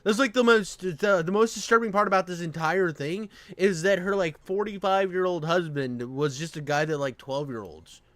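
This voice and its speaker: A man's voice: high-pitched, moderate pace, few pauses, a bit bright, no room sound. A man giving casual, unscripted reaction commentary in a blunt, slangy way. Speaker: reaction video host.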